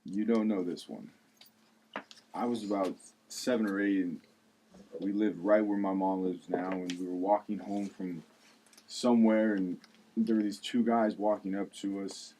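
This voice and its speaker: A man's voice: low-pitched, steady tone, a little slow, mellow, slight background noise, rough recording. A man acting an earnest, protective friend in a table read, confiding in a conversational storytelling way. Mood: reflective, confiding.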